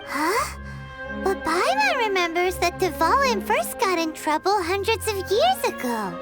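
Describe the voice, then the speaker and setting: Tiny fairy sidekick, extremely high childlike voice, swooping intonation, chirpy, excitable, video game. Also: female voice, a little slow, music in the background, full character voice. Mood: curious. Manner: chirpy, refers to self in third person.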